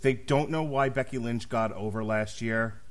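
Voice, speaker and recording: Man, mid-pitch voice, blunt, swears freely, casual podcast chat. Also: moderate pace. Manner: blunt, profane, opinionated. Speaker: podcast host.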